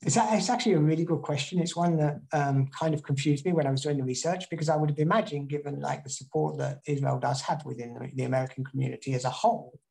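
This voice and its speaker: A man's voice: fairly high pitch, fairly even intonation, fast talker, gravelly, hardly any pauses, a little mellow. A man from the UK giving a talk and answering audience questions, with frequent restarts and fillers. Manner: rambling, many restarts. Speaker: guest speaker. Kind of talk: online talk and Q&A.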